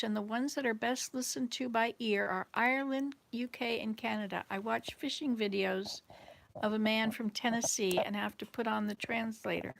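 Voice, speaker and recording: A woman's voice: fairly high pitch, gravelly. A woman with a Southern US accent chatting casually and answering viewer comments in a rambling way. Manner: rambling, jumps between thoughts. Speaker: livestream host.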